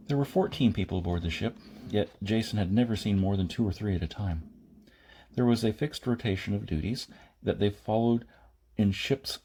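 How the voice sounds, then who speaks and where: A man reading a science fiction novel aloud as an amateur audiobook narrator, in short phrases with pauses and the odd stumble. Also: fairly low pitch, mellow, slightly rough. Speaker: audiobook reader.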